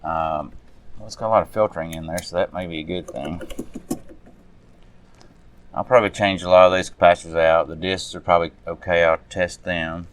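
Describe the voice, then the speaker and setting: A man's voice: deep voice, steady tone, fairly quick, long pauses, a little hiss or hum. A man giving a casual, unscripted hands-on walkthrough as a hobbyist, muttering to himself in folksy, informal speech as he works. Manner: unscripted, muttering to self while working. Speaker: radio restoration hobbyist, presenter.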